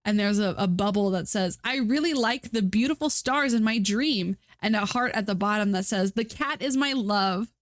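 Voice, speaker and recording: A woman's voice: mid-range voice, moderate pace, few pauses, no room sound, studio quality. A woman streaming live, chatting casually to her viewers with a stuffy nose, rambling through fillers and restarts. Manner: rambling, self-mocking, many fillers and restarts.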